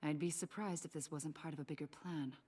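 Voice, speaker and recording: Low, husky female agent. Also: steady tone, fairly quick, warm tone. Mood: curious, probing. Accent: American. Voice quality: husky, breathy. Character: shrewd, probing young female government agent. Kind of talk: video game voice acting.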